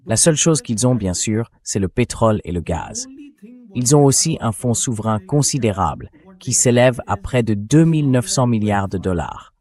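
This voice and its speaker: A man's synthetic voice reading translated commentary as an interview guest, explaining points in a measured way. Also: fairly low pitch, very animated, darkish tone. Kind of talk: translated political commentary voice-over read by synthetic voice.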